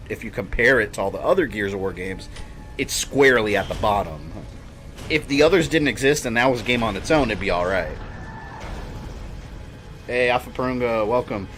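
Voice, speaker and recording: A man's voice: lowish voice, lively intonation, brisk, a bit bright, slight background noise. Rambling man in his thirties, streaming. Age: 30s. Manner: rambling, chatty, with laughs. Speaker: game streamer. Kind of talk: casual chat while gaming.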